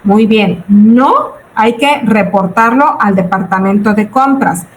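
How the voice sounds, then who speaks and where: A woman's voice: mid-range voice, takes it slowly. A woman in her forties with a Mexican accent, giving an informal lecture to students in a didactic, question-and-answer manner. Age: 40s. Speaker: business budgeting teacher. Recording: roomy echo, background noise.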